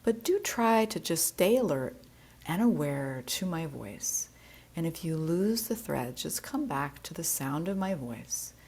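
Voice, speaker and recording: Female yoga nidra teacher, low register, very measured pace, gentle and soothing guided-meditation delivery. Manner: soothing, gentle, unhurried instruction.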